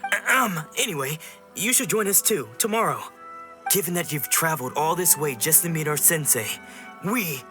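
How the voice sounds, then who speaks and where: A man's voice: high-pitched, bright, forceful tone, heavily acted. A man playing a polite, nervous young sword disciple in a video game, easily flustered as he explains.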